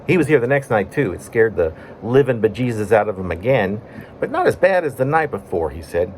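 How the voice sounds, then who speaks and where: A man with a fairly low voice reads a poem as if telling a story. His pitch moves in wide, lively swings across short phrases broken by pauses. Some background room noise sits under the recording. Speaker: poet reading own poem. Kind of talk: spoken-word poetry reading, conversational storytelling.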